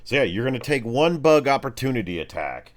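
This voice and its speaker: A man's voice: mid-range voice, big pitch swings, fairly quick. A man acting as game master, improvising descriptive narration of a tabletop game mixed with informal, sweary chat.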